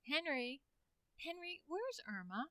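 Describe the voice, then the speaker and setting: A woman's voice: high voice, big pitch swings, slow pace, a bit bright, dry recording, studio quality, exaggerated voice. An actress playing a prim, bossy but polite teenage girl in old-time radio comedy style. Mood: scolding.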